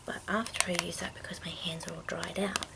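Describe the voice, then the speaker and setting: A woman speaking in a hushed, breathy whisper, casually rambling through products in an unhurried ASMR style. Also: lowish voice, flat delivery, heavy background noise. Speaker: ASMR video maker.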